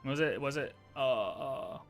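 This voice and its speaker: A man's voice: medium pitch, measured pace, soft background music. A man livestreaming with casual, off-the-cuff commentary, emphatic and repeating himself to make his point. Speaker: livestreamer reacting to a video.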